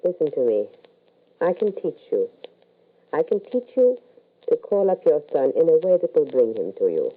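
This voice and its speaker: A woman playing a self-assured, mysterious occult adept in a 1940s radio horror drama, speaking deliberately on a tinny old recording. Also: deep voice, sing-song. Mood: commanding. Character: ominous, self-assured female occult adept, coolly warning.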